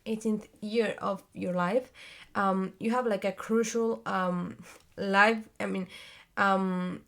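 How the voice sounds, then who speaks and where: Hesitant Spanish-accented young woman, gravelly. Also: medium pitch, fairly even intonation, slow, a bit bright. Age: 20s. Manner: hesitant, many fillers and restarts, searching for words. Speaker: vlogger and theater actress. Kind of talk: casual talking-to-camera vlog.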